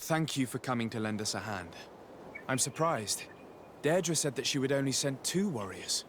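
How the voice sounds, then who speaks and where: An actor playing a composed, decisive diplomatic envoy in a video game, speaking in a formal, measured way. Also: male voice, medium pitch, lively intonation, noisy background, natural voice. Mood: composed, firm. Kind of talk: scripted video game acting.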